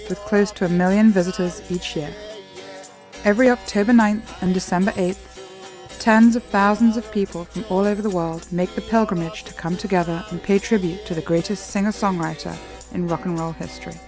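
A woman reading scripted documentary narration in a measured, even way. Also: medium pitch, soft background music.

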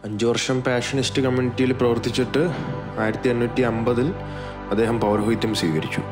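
A man giving a measured, explanatory documentary voice-over as the narrator of a video. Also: mid-range voice, steady tone. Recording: music bed, some dull echoey passages. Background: music.

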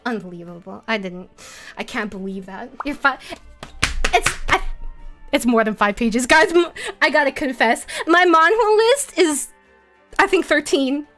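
A woman's voice: high voice, animated, unhurried, a bit bright. A woman streamer giving a self-mocking stream self-introduction, often laughing. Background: faint music.

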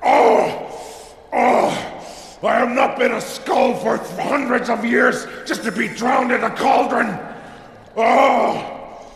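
An actor playing a cranky, centuries-old talking skull in a video game, theatrical, whining and indignant, with a creaky cackle. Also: male voice, extremely high pitch, slow pace, exaggerated voice. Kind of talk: video game character acting. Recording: roomy echoing reverb, faint noise.

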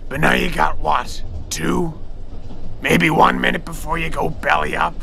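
A man playing a scarred, two-faced crime boss with a gravelly rasp and sneering, taunting delivery in a comic-book radio drama. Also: a little high, big pitch swings, measured pace, pushed and bright, a little hiss or hum, exaggerated voice. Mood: gloating. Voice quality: gravelly, rasping.

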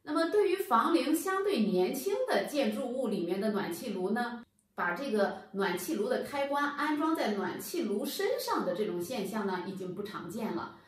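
A woman's voice: fairly high pitch, very animated, normal speed, recorded from a distance. Woman explaining in a very echoey room. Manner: patient, explanatory, addresses viewers directly. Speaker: HVAC channel presenter. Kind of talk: instructional explainer. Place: plain indoor room against a bare wall.

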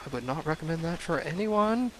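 A young man commenting casually on a video game he is playing, his voice a little hoarse from a cold, rambling with self-deprecating asides. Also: on the high side, expressive, a little fast, a little hiss or hum, poor audio. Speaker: let's play gamer.

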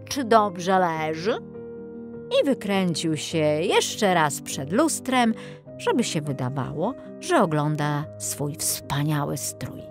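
A woman narrating a children's fairy tale as an audiobook, reading from a script in an expressive storytelling manner. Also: deep voice, slow, music in the background.

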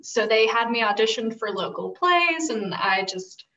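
A woman's voice: on the high side, lively intonation, unhurried, pushed and bright. A young adult woman chatting casually as a panel guest on a video call. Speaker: guest, costume designer. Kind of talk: casual personal anecdote on a panel. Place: bare echoey room, video call. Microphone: distant computer mic. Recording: dull, echoey, distant video-call audio.

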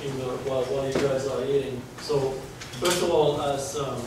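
A man's voice: medium pitch, little pitch movement, moderate pace. A man in his forties from Finland with a Finnish accent, giving a chatty guest lecture to students in a large lecture hall. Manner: chatty, conversational, restarts phrases. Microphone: far camera, no mic seen. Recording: echoey hall, distant mic, background noise.

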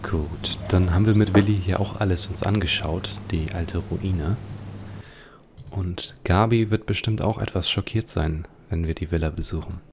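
A man's voice: sits low, moderate pace, a little mellow, slight background noise. A man giving musing, speculative commentary as he plays an adventure game. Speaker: let's play host.

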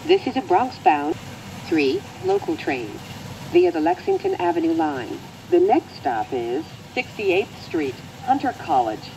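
An American woman reading scripted transit announcements in a measured, formal manner. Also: fairly low pitch, big pitch swings, warm tone, slight background noise, low-quality recording.

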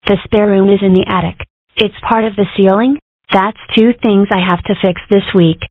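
A woman voicing a weary, dry-witted female rabbit in charge, deadpan and sardonic, in a cartoon comic dub. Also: mid-range voice, normal speed, no room sound, phone-quality sound, natural voice. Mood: exasperated. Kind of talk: comic dub character acting.

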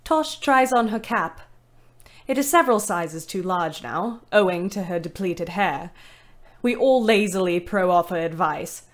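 An actress playing a weary young ambulance driver, narrating her diary in a measured, dryly wry way for an audio play. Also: fairly low pitch, animated. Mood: descriptive.

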